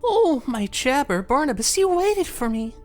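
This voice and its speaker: A woman's voice: high-pitched, very animated, a little slow, a little mellow, faint music behind. A woman voicing a playful, wicked male jester who speaks in a sing-song, teasing, theatrical way.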